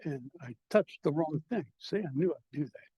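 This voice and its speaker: An older man giving a seminar talk, folksy and halting, fumbling with his slides. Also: a little high, expressive, breathy edge, lo-fi sound. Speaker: guest speaker at a seminar. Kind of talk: casual conference presentation. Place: lecture hall. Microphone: handheld mic, held low at times.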